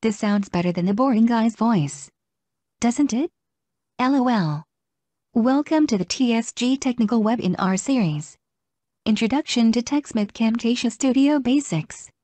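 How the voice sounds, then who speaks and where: A computer-generated female voice reading a scripted announcement in a smooth, synthetic way. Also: a little high, expressive, unhurried, dark tone, no echo. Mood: chipper. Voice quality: smooth, synthetic text-to-speech delivery.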